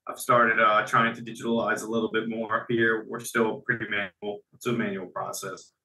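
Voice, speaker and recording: A man's voice: lowish voice, flat delivery, moderate pace, sharp tone. A man speaking as a business guest in a casual interview, talking conversationally and repeating phrases as he restarts his sentences. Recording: echoey room.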